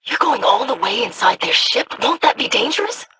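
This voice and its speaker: Lilting, curious young alien. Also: female voice, a little high, very animated, fairly quick, bright, forceful tone, slight background noise. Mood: fascinated, concerned. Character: curious, earnest young female alien scholar. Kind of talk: video game character acting.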